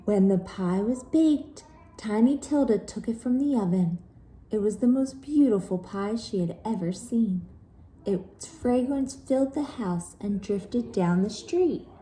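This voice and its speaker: Woman, storybook narration, slow pace, very soft dark tone, animated pitch. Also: low-quality recording. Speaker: children's story reader. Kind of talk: expressive scripted storybook narration.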